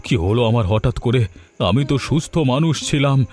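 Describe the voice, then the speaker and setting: A man voicing a greedy, powerful village headman in a cartoon, talking in a quick, anxious, demanding way. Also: medium pitch, a little mellow, close to an everyday voice. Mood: fretful. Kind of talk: cartoon voice acting.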